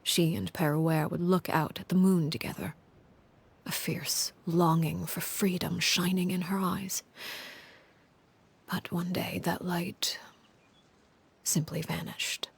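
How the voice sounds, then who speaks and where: A woman voicing a cold, commanding middle-aged leader who tells a grim story in a measured, solemn way, often dropping to a hushed, breathy tone, in a studio game recording. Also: lowish voice, flat delivery, exaggerated voice. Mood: grave. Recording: game audio with faint music behind.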